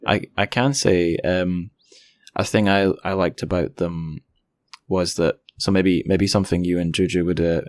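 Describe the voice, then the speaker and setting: A man's voice: low-pitched, flat delivery, unhurried, darkish tone, no room sound, studio quality. A man hosting a podcast interview, chatting casually and thoughtfully in a hesitant, roundabout way.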